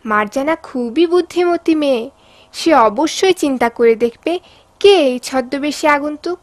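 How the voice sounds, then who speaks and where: A woman reading scripted story narration for an animated cartoon. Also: sits high, moderate pace, no room sound.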